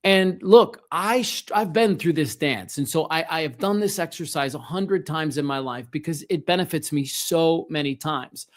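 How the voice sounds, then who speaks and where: A man's voice: on the high side, moderate pace, few pauses. A middle-aged man hosting a solo motivational podcast, speaking earnestly and emphatically, straight to the listener. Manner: earnest, emphatic, addresses listener directly with rhetorical questions. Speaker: podcast host and self-help coach.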